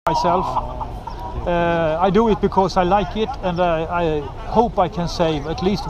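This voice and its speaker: A Swedish man speaking halting English with many pauses and restarts, chatting outdoors at a street event into a head-mounted camera. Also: high-pitched, steady tone. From Sweden. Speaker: road-safety volunteer filming at a bike race. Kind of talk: casual chat with strangers. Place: outdoors at a busy street race start. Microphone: head-mounted action camera. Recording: crowd noise around an outdoor event.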